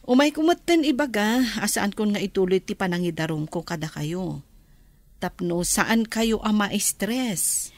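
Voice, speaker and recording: A composed, generous lady in a radio drama. She speaks reassuringly and respectfully in a medium-pitched voice. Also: animated, unhurried. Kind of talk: Philippine radio drama acting.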